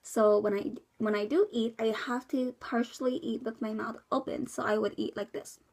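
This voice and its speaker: A woman's voice: fairly high pitch, fairly even intonation, normal speed, a little mellow. A young woman from the US Midwest, congested from a cold, giving a rambling casual update to camera with many fillers. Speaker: vlogger.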